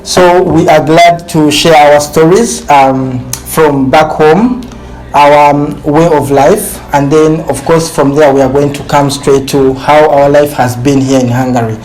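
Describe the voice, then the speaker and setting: A man's voice: fairly high pitch, fairly even intonation, measured pace, a little mellow, rough recording. A man with a Ugandan accent, from western Uganda, hosting a casual group interview in loose, repetitive phrasing. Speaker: host.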